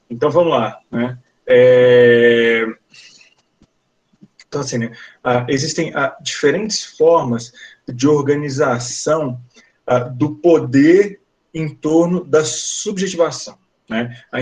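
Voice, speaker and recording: A man from Brazil with a coastal Brazilian accent, giving an online university lecture in a rambling way, full of fillers and tag questions. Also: mid-range voice. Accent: Brazilian Portuguese, coastal.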